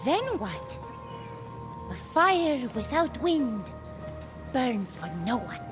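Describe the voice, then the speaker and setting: An actress playing a scatterbrained, blunt and excitable goddess mentor in a video game, halting as she goes. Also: high-pitched, exaggerated voice. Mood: grandly urging. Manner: halting, flighty, childlike enthusiasm. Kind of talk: video game cutscene voice acting. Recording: loud music behind the voice. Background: music.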